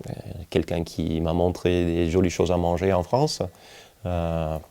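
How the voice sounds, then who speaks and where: Man in his forties, American accent, unhurried, dark soft tone, halting interview delivery. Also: low-pitched, flat delivery, clear, resonant tone. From United States, Kentucky. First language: English. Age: 40s. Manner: hesitant, halting, searching for words. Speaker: winemaker. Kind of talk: relaxed documentary interview, telling life story.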